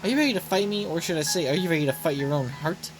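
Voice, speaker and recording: Woman voicing a haughty anime villainess over loud music. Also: deep voice, fast talker, natural voice. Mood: bitter, challenging. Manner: cool and sardonic, flaring into indignation. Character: haughty, sarcastic young female villain, obsessive swimsuit performer. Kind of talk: voiced reading of anime game dialogue. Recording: loud game music behind voice.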